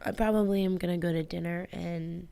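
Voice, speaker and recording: A woman's voice: on the low side, steady tone, brisk, vocal fry, dark tone, smooth. An American woman co-hosting a radio show, chatting in an informal, playful way. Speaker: radio co-host. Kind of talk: casual chat.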